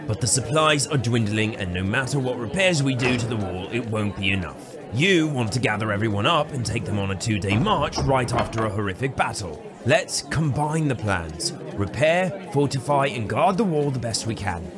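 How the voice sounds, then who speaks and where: An actor playing a diplomatic, level-headed soldier in a fantasy audio drama, reasoning in a measured way. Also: male voice, medium pitch, animated, natural voice. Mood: calm, reasoning. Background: background music.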